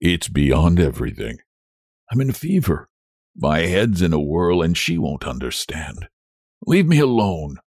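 A weary, sick country doctor from an old tale as a man narrator acts him. He mutters and grumbles with reluctant hesitation, his pitch moving freely, recorded very dry. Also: sing-song, a little slow, darkish tone, dry recording, heavily acted. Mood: exasperated, muttering. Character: exhausted, feverish, reluctant male country doctor.

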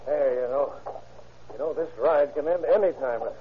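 A man's voice: on the high side, moderate pace, natural voice. A man playing a grumbling, slangy detective sidekick in a radio drama, with an American accent and sardonic manner. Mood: wry, grumbling. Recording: dull vintage radio, background noise.

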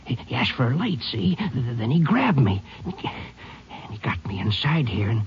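A man's voice: mid-range voice, sing-song, normal speed. An actor playing a sour, folksy night watchman with a rural American accent and a stammering way of talking, in a 1950s radio western. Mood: halting, aggrieved. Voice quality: raspy, creaky. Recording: dull old radio recording.

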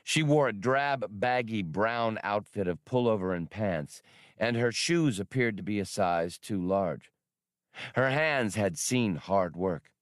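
A man's voice: lowish voice, animated, slow, no room sound, studio quality. A man reading adventure fiction aloud as a scripted audiobook narration.

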